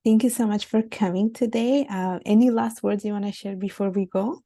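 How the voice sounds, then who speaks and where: A woman's voice: medium pitch, fairly even intonation, moderate pace, dark tone, dry recording, clean studio sound. A woman hosting a casual interview over a video call, friendly and filling her talk with "like". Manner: friendly, uses "like" often. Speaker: interviewer, channel host.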